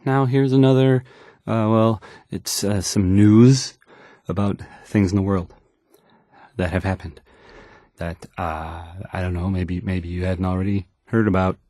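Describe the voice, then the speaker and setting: An actor playing an awkward, nervous young radio DJ in a video game, stammering and rambling through news reports. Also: male voice, deep voice, fairly even intonation, a little slow, dark tone, no room sound, studio quality. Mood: nervous, unsure. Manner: hesitant, stammering, rambling, self-doubting. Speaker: radio newsreader. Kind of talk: bumbling news announcing.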